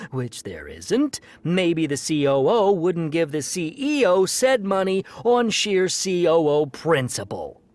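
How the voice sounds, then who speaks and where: A man playing a touchy, prissy operations chief in a comedy audio drama, indignant and petulant. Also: a little high.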